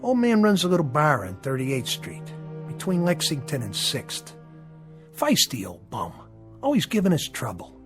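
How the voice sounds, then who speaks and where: An actor playing a menacing, sardonic gangster boss, mocking and coolly controlling in a radio drama. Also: male voice, fairly high pitch, huge pitch swings, moderate pace. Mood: menacing, smug. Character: menacing, sardonic gangster boss who needles his underling. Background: music.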